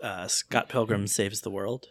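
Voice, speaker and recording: A man's voice: fairly low pitch, fairly even intonation, unhurried, dry recording, clean studio sound. A man hosting a podcast in a casual conversational style. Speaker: podcast host. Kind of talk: casual chat.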